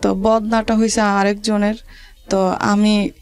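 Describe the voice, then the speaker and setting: A woman with a creaky, middle-pitched voice speaks in a rural Bangladeshi accent. She tells her own story as an interview guest, in a halting, colloquial way, often repeating words as she goes. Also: flat delivery, darkish tone. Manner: halting, repetitive, colloquial dialect.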